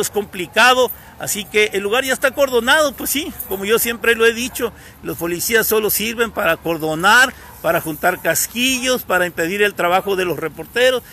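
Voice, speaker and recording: A man's voice: high-pitched, gravelly, sharp tone, slight background noise. A man from Mexico reporting live from a city street crime scene, talking in an indignant, repetitive way and criticizing the authorities, recorded on a phone he holds. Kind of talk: live on-scene news commentary. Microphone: phone camera held by the reporter.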